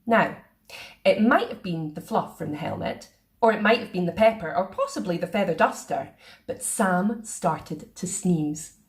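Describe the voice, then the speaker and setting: A woman reading a children's picture book aloud in a playful, expressive way. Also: fairly low pitch, a little slow. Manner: expressive, sing-song. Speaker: storyteller.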